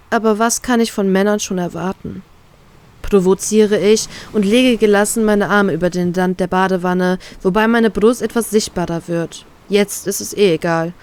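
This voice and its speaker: A woman's voice: medium pitch, a little mellow. A woman reading a first-person fan fiction story aloud, voicing a heartbroken inner monologue.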